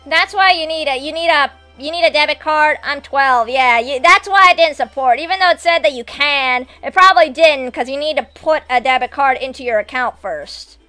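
A woman in her twenties chatting casually as she live streams a game, excitable and foul-mouthed. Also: high voice, normal speed, few pauses. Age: 20s. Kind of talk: casual gameplay commentary and chat with viewers. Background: video game music and sound.

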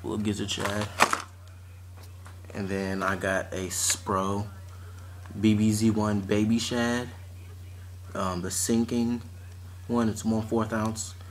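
A young man in his late teens chatting casually and haltingly as he presents items to a camera at home. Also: on the low side, little pitch movement. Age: teens to young adult. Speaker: vlogger showing fishing tackle. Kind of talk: casual unscripted unboxing chat. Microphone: camera or phone mic at arm's length. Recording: noisy amateur recording with clicks and rustling.

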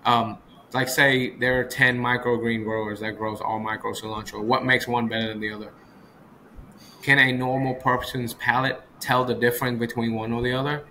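Bright-voiced man chatting, noisy video call. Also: mid-range voice, little pitch movement, normal speed. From Vietnam. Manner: repetitive, often ends points with "right?". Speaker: executive chef, interview guest. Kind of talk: casual conversational interview. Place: small room indoors, on a video call. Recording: video call with clear background noise.

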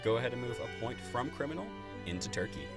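A man's voice: medium pitch, animated, fast talker. A man narrating a tabletop game as game master. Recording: music playing behind.

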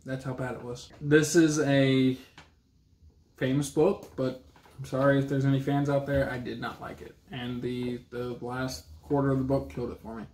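A man's voice: mid-range voice, level tone, normal speed, darkish tone. A man in his thirties gives blunt, casual opinions on books. His plain-spoken delivery is picked up by a camera mic in a roomy, echoey space at home. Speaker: book reviewer and co-host of a book vlog. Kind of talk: casual chat about books. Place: small indoor room at home with a bookcase.

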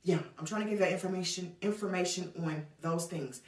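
A woman's voice: fairly low pitch, brisk, far from the mic. Woman, 30s, home vlogger, talks to camera like a friend; camera set back in a bare, echoey room. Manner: chatty, addresses viewers as y'all. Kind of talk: casual chat to camera. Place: small indoor hallway, later a child's bedroom. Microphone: camera on a stand a few feet away.

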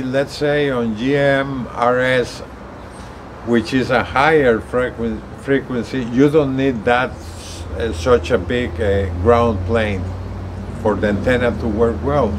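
A man in his sixties talks slowly and casually, explaining things to viewers. He stops and repeats words as he goes. He is recorded outside on a balcony, with faint ambient noise behind him. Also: mid-range voice, rough recording. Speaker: gadget reviewer. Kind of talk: casual explanatory vlog talk. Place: outdoors on an apartment balcony. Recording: steady outdoor ambient noise.

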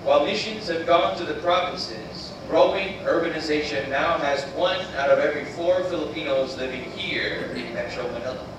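A man in his thirties from the United States, giving an earnest, measured missionary presentation. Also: on the high side. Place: church sanctuary, voice played on screen video. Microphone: recorded from room speakers by far camera. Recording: roomy, distant, noisy church playback.

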